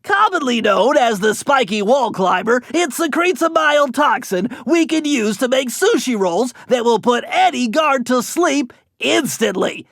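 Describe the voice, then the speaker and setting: A man's voice: extremely high pitch, lively intonation, a little slow, vocal fry, few pauses, a bit bright, dry recording, clean studio sound, full character voice. A man voicing a nerdy, eager brainy gadget expert in a video game, with nasal, precise, wordy delivery. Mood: precise, briefing. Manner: precise, wordy, bookish briefing. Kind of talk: animated video game character voice acting.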